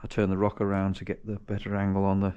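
Low-voiced man narrating his workshop job. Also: monotone, fast talker, warm tone, clean tone, lo-fi sound. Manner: thinking aloud while working, with restarts and self-corrections. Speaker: home mechanic vlogger. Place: large cluttered garage workshop, indoors. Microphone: chest-mounted action camera.

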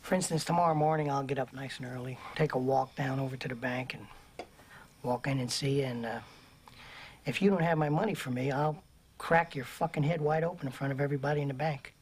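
A man's voice: mid-range voice, fairly quick, slight background noise, close to an everyday voice. A man playing a volatile, foul-mouthed gangster. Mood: menacing calm. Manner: profane, threatening, sudden explosive outbursts. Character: volatile hot-tempered male gangster, menacing. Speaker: gangster in film scenes. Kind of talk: film drama acting.

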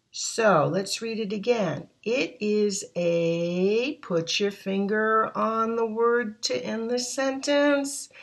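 Woman teacher voice-over, unhurried and encouraging, stretches her words. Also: mid-range voice. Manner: drawn-out words, praises often, thinking-aloud hums. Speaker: English reading teacher. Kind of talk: slow, encouraging worksheet walkthrough.

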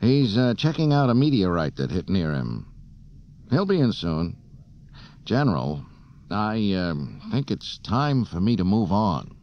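A man's voice: lowish voice, very animated, measured pace, voice pushed up. A man voicing a cocky, flippant young space smuggler in an audiobook. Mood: casual. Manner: flippant, offhand, hesitant ums. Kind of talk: audiobook character acting. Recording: dull, hissy cassette transfer.

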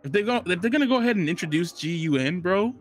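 A young man co-hosting a casual film reaction, excitable, slangy and profane, speaking into a close studio mic. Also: high-pitched, quick pace, faint music behind. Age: young adult. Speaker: reaction video co-host. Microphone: close studio microphone with headphones.

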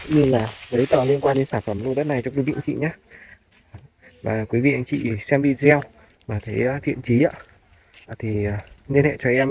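A man's voice: mid-range voice, a little mellow. Man giving an outdoor property tour, noisy recording. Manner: polite salesman, frequently addressing viewers. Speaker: real estate agent. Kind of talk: walk-through property tour narration. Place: outdoors, walking through farmland. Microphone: handheld phone. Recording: clear background noise, outdoor phone video.

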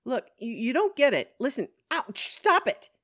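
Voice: mid-range voice, very animated, slow pace, dark tone, pitched above the performer's own voice, heavily acted. A narrator voicing a frantic, cornered woman, pleading and protesting in exasperation in an audiobook story. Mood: frantic, exasperated.

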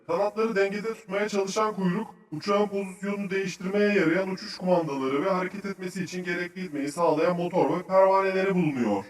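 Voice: medium pitch, fast, hardly any pauses, recorded from a distance, natural voice. An actor voicing a knowledgeable, cheerful cartoon researcher who explains things patiently and articulately to children. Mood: patient explaining. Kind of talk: children's educational cartoon dubbing. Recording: roomy echo, music behind.

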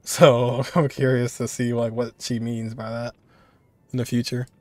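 A young man reacts to a game in a casual way. His voice is medium in pitch and recorded very dry and close. He muses aloud informally, often circling back to repeat a phrase. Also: flat delivery, unhurried, a little mellow, dry recording. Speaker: reaction video creator.

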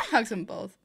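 A woman hosting a reaction video, giving casual, expressive commentary with frequent exclamations. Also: very high-pitched. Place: own room. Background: music from the watched animation.

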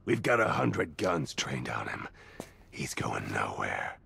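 Deep, heavily gravelled, stern lawman. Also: wildly swooping pitch, slow pace, sharp tone, exaggerated voice. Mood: firm restraint. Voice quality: gravelly, husky. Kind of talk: video game drama acting.

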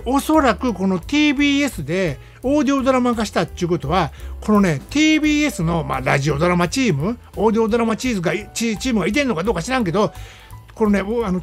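A male radio host with a Kansai Japanese accent talks in a very animated, swooping way. He is chatty and emphatic, reviewing films casually on his own, with music playing behind him. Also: high voice, husky. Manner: chatty, emphatic, peppering phrases with "ne". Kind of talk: casual film review monologue.

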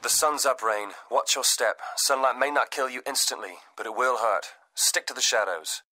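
A man playing a dry, scholarly mission guide in a video game, with a measured, wry briefing manner. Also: mid-range voice, pushed and bright, rough recording. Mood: cautioning.

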